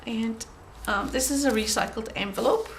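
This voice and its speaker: Woman, croaky from a cold, gentle and chatty, lots of ums, slightly high pitch, faint background noise. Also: normal speed. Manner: gentle, chatty, with frequent ums and throat clearing. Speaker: craft journal maker showing her work. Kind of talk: casual show-and-tell.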